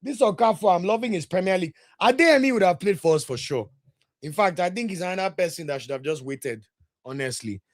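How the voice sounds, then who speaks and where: A young man with a Nigerian English accent hosts a football show in a casual, heated way. He rants at length, answers viewer comments and drops into pidgin now and then. His voice sits fairly high for a man. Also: lively intonation, brisk. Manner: passionate, ranting, switches into pidgin.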